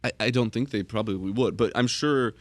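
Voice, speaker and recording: A man in casual podcast conversation, earnest and reassuring, often halting as he thinks aloud. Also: medium pitch, no echo.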